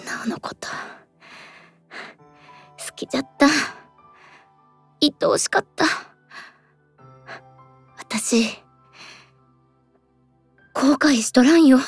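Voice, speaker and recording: An actress playing a gentle, devoted fox spirit in an anime audio drama, speaking wistfully and breathily in a western Japanese dialect. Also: female voice, high voice, extremely slow, long pauses, breathy edge, faint music behind, full character voice. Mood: wistful, tender.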